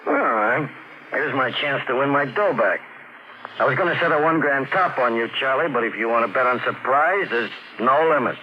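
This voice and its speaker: An actor playing a tough, shrewd underworld bookie in an old-time radio drama, wry and slangy. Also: male voice, mid-range voice, expressive, moderate pace, close to an everyday voice. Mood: confident. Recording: muffled old radio recording, faint noise.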